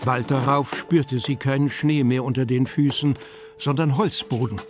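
A man's voice: mid-range voice, fairly even intonation, moderate pace, lightly acted. A man narrating a German children's radio play in a scripted storybook style. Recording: music behind the voice.

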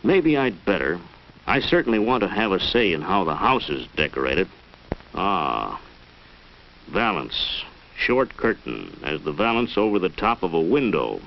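A man's voice: low-pitched, very animated, measured pace, creaky, slight background noise, full character voice. An actor playing a flustered, plain-spoken middle-aged American family man in a 1940s educational film, with a muffled period soundtrack. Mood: musing.

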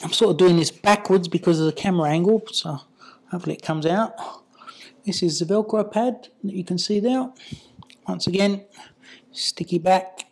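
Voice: medium pitch, normal speed. Casual hands-on tutorial voice, muttering while working. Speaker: product installer and reviewer.

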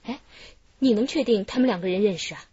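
A woman's voice: medium pitch, fast, dark tone, slightly rough, no room sound, lightly acted. An actress playing a probing, businesslike female police detective in a radio drama. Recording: dull lo-fi radio drama recording.

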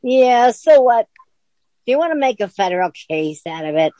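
Woman playing a high-voiced cheeky knave. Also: sing-song, moderate pace, warm tone, no echo. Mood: flippant. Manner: flippant, casual. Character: offhand, cheeky male knave and tart thief. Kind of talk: comic parody radio drama.

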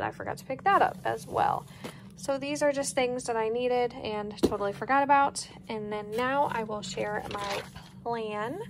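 An American woman talking casually and plainly as a home vlogger, easygoing, recorded on a handheld device in a kitchen. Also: on the high side. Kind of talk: casual grocery haul and meal plan narration. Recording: noticeable background noise, home kitchen.

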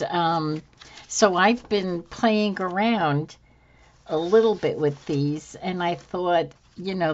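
Crafter woman, low and unhurried, slightly hoarse, rambling as she works; dull recording with faint hiss. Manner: slow, meandering, thinking aloud with many ums. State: slightly hoarse from dry throat. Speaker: craft channel host. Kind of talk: casual crafting tutorial chat. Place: home craft table, indoors.

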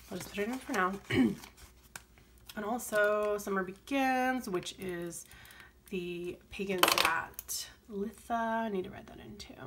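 A woman chats casually at home while planning. Her voice sounds strained and crackly, at times sinking lower than her usual range. She rambles along at a mid pitch with soft asides, and a faint hiss sits under the recording. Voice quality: strained, crackly voice that drops deep. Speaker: planner vlogger. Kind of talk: casual plan-with-me chatter. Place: home desk, camera overhead on planner.

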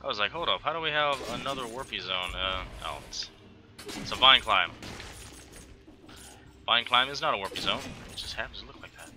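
A man with a light Texan accent talks his way through a video game into a headset, chatty and quick to exclaim. His voice is sharp and bright, middling in pitch, with faint game music underneath. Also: expressive. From Texas. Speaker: gaming channel host. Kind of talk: casual gameplay commentary.

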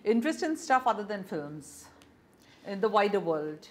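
A middle-aged woman interviewer speaks with an Indian accent. Her pitch is average for a woman but moves in a lively way as she puts questions conversationally. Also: moderate pace. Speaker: interviewer, host. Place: indoor room.

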